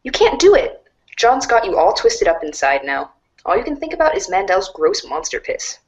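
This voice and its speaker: Animated woman narrator with swooping pitch. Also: moderate pace. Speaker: narrator in a webcomic reader's theater. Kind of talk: animated storybook narration read aloud.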